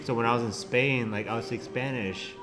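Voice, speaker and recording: A man's voice: mid-range voice, a little fast, over music. A young man with a Californian accent, chatting casually in a hostel and rambling with lots of "like". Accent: Californian American English. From California, United States. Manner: rambling, heavy use of "like". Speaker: traveler in a group chat. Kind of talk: casual chat.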